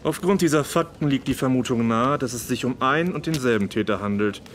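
A man playing a gruff, self-important Victorian police detective in a German radio play, brusque and pompous in manner. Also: mid-range voice, brisk, slight background noise, natural voice. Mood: matter-of-fact.

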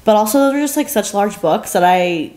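A woman's voice: medium pitch, expressive, fairly quick, darkish tone. A young adult woman co-hosting a podcast in casual chat, with informal, loosely phrased conversational delivery. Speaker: podcast co-host. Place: living room, on a sofa.

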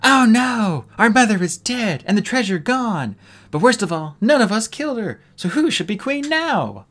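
A man's voice: on the high side, wildly swooping pitch, normal speed, a bit bright, pitched above the performer's own voice, full character voice. A man voicing a bossy, insistent young female dragon, the eldest and biggest of her group. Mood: dramatic.

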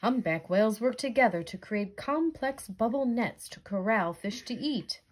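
A woman's voice: on the high side, lively intonation, moderate pace, a little hiss or hum. A middle-aged American woman reading a children's book aloud like a teacher. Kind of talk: children's storybook read-aloud.

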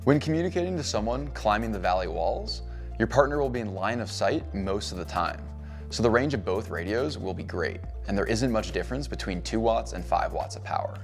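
Low-voiced man explaining radio range. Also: expressive, soft background music. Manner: clear, measured explainer. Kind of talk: scripted educational narration. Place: indoor cabin-style studio.

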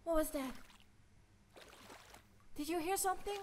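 A woman's voice: very high-pitched, a little slow, slight background noise. A woman voicing a timid, nervous young boy fish in a cartoon fan dub, stammering and easily panicked. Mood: uneasy. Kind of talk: animated film fan dub.

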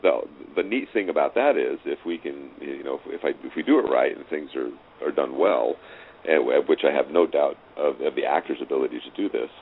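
A man's voice: very deep voice, lively intonation, fairly quick. A middle-aged man reminiscing casually as an interview guest, often chuckling and repeating phrases for emphasis. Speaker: interview guest, audio drama writer and director. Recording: tinny phone-like line, clear noise.

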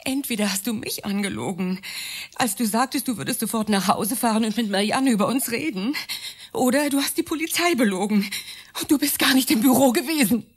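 A woman's voice: a little high, expressive, a little fast, husky. An actress playing an anxious, insistent woman who doubts her lover, in a radio drama. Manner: pleading, insistent, worried. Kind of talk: German radio drama acting.

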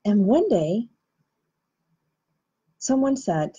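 A woman with an Armenian accent speaks slowly and earnestly, taking her time over each thought. Her voice is low, mellow and a little husky. The recording sounds muffled and lo-fi. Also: sing-song. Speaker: healing practitioner and host. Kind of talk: reflective talk to camera.

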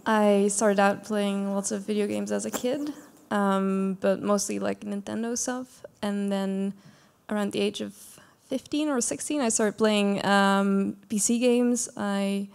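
A woman's voice: medium pitch, creaky, darkish tone. A young woman, a game design student, speaking shyly on a live panel with many fillers. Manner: shy, hesitant, with many fillers. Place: small venue stage before an audience.

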